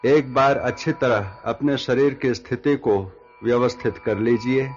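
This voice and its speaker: A man leading a guided yoga nidra relaxation as instructor, giving measured instructions in short phrases with long pauses. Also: mid-range voice, steady tone, muffled recording. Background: background music.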